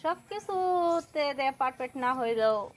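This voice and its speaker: A woman's voice: high-pitched, animated, slow pace. A young woman from Kishoreganj with a Bangladeshi accent, speaking colloquially as a guest in a personal interview. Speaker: interview guest seeking a husband.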